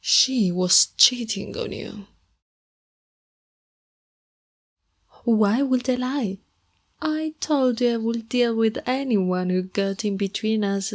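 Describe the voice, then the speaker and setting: Woman acting a possessive goth girlfriend, slow and cooing, soft husky whispers, sweetly menacing, intimate ASMR roleplay. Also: mid-range voice. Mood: cold, unsettled. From Italy. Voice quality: soft, breathy, often dropping into whispers.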